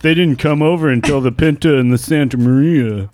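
A man's voice: mid-range voice, a little fast, darkish tone, slight background noise. A man hosting a podcast in casual chat, wry and joking. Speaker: podcast host.

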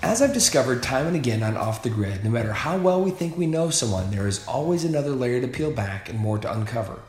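A man's voice: medium pitch, expressive, fast talker, music in the background. A man hosting a podcast, reading a scripted introduction and then chatting with a guest, with some hesitant fillers. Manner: warm friendly host, hesitant with fillers when unscripted. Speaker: podcast host.

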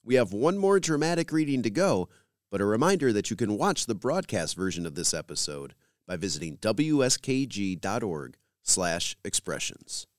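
Low-voiced, animated male podcast host. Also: moderate pace, dry recording, studio quality. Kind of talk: scripted presenter links.

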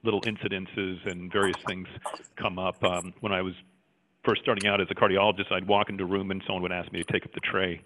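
A man's voice: deep voice, flat delivery, fast, pushed and bright. A middle-aged male cardiologist from Jamaica, raised in Canada, answering interview questions thoughtfully, with many fillers and restarts. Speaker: cardiologist guest. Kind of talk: interview conversation. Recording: tinny phone-like line.

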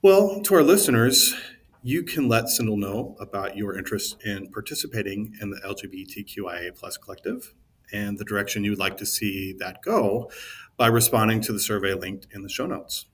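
Male podcast host, low-pitched, upbeat intros. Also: moderate pace, a bit bright. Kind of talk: scripted host links and interview questions.

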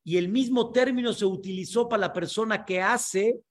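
A man leads a Torah class as its teacher. His voice sits high for a man, and he explains each point patiently, pausing to ask whether his listeners follow. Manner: explanatory, checks understanding with frequent asides.

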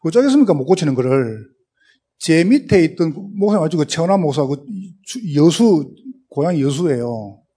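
A man's voice: on the high side, sing-song, moderate pace, warm tone, husky. A man pastor from Gyeongsang with a strong Gyeongsang accent, preaching a humorous sermon full of anecdotes and acted-out dialogue. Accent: Gyeongsang Korean. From Gyeongsang, South Korea. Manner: storytelling, acts out dialogue, speeds up and laughs.

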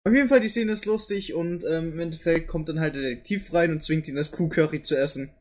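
A man's voice: on the high side, fast. A man chatting casually as a podcast co-host, with a playful, colloquial way of talking. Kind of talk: casual group chat.

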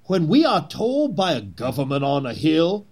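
A man acting as a persuasive, grandiose Confederate conspiracy leader in an 1860s period drama, with theatrical, pleading oratory and emphatic pauses. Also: on the high side, wildly swooping pitch, a little mellow, breathy edge, exaggerated voice. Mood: rousing.

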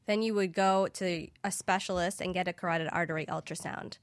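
A young woman with a slightly low voice explains things as an interview guest. Her delivery is informative and matter-of-fact, and the sound is dry with very little room. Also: fairly even intonation, a little fast, no echo. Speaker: guest, infrared screening practitioner.

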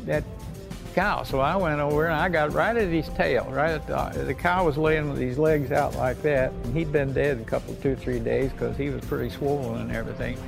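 A man's voice: mid-range voice, fairly even intonation, moderate pace, creaky, rough recording. An older American man, a war veteran, recounting his memories in a folksy, plain-spoken way. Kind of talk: unscripted oral-history reminiscence. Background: music bed.

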